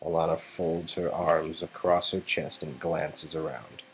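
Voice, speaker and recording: A man's voice: a bass voice, steady tone, a little slow, slight background noise, low-quality recording. A man reading an interactive story game aloud as narrator, with playful, goofy asides. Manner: goofy, rambling ad-libs. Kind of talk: playful dramatic read-aloud with silly ad-libbed asides.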